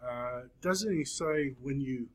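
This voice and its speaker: A man in his fifties teaching a Bible class, speaking slowly with frequent pauses and fillers. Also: medium pitch. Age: 50s. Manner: slow, halting, many fillers and pauses. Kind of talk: classroom lecture.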